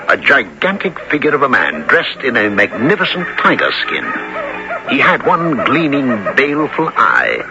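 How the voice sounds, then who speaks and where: A man playing an adventurer who narrates his expedition in a radio drama, with vivid, dramatic delivery. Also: medium pitch. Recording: muffled old radio recording. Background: music.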